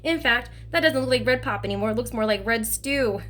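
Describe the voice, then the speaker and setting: A woman's voice: fairly high pitch, fairly quick, fairly bright tone, close to an everyday voice. A woman voicing a teasing folksy old man. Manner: joking, folksy, talkative. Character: teasing kindly older male driver.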